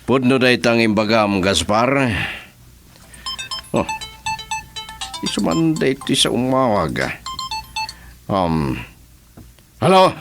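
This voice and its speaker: A man acting a scheming, mocking factory boss in an Ilocano radio drama, with a drawn-out, taunting delivery and an Ilocano accent. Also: medium pitch, big pitch swings, a bit bright, faint music behind, heavily acted. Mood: scornful, scheming.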